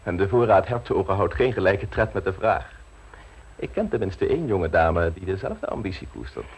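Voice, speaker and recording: An actor playing a smooth, self-assured scheming secretary in a radio play, teasing and unflappable, with a husky voice. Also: male voice, deep voice, sing-song, normal speed, exaggerated voice. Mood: suave, scheming. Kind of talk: radio play acting in Dutch.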